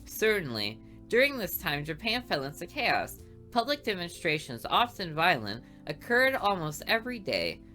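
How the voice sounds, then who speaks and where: A woman voicing a prim, model schoolgirl who is class valedictorian, reciting in a polished, even way. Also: lowish voice, moderate pace, faint music behind. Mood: poised.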